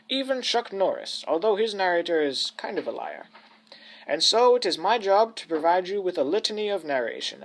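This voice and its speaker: A chatty, self-important comic storyteller, acted by a young man. His male voice is notably high in pitch. He narrates a mock-epic with smug, flippant asides. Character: self-important, chatty narrator who follows the hero around.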